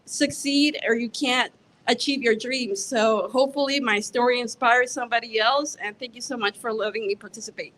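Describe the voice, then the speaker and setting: A woman makes short personal remarks at a public event. Her pitch is a little above average. Light background noise sits behind her voice. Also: fairly even intonation, moderate pace, a bit bright, low-quality recording. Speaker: campaign participant. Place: outdoors in a city square.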